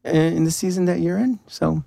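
A man's voice: high voice, brisk, vocal fry, mellow, no echo. A man from Southern California hosting a casual radio show in a studio, playful and teasing his guests.

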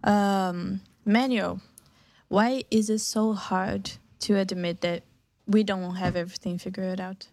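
A woman hosting a faith talk show in a casual, halting way, searching for her words as she goes. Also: medium pitch, fairly even intonation, a little mellow, no room sound.

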